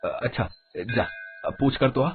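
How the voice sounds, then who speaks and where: A man's voice: medium pitch, a little slow, narrow, tinny audio, soft background music, lightly acted. A man voicing a poor bridegroom in a story, speaking hesitantly and with resignation. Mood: resigned. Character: poor, subdued bridegroom, resigned and short of money.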